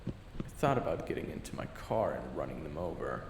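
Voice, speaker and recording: A man playing a brooding, weary former killer in an audio drama, narrating his own story in a gravelly voice. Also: rumbling low, sing-song, fairly quick, reverberant, background noise, full character voice. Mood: brooding, grim. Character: brooding weary ex-killer husband fighting his inner demon. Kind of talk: audio drama first-person narration and dialogue.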